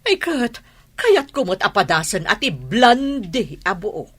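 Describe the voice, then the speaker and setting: A woman acting a vain, sharp-tongued Filipino-accented village woman in a radio comedy. She scolds haughtily, her medium-pitched voice swooping up and down. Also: sing-song, a little slow, slightly rough. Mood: vain, defensive. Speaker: radio drama actor.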